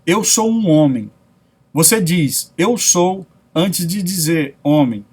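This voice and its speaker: Brazilian male narrator, fairly high voice, reading spiritual teachings aloud. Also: expressive, takes it slowly, slightly rough. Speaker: narrator reading self-help spiritual texts. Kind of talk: read-aloud spiritual lecture.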